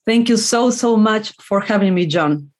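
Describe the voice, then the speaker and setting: A woman from Argentina speaks English with an Argentine Spanish accent, since Spanish is her first language. She answers interview questions earnestly and thoughtfully, sometimes restarting a phrase. Her voice sits at an ordinary middle pitch for a woman. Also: expressive, measured pace, darkish tone. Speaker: author guest.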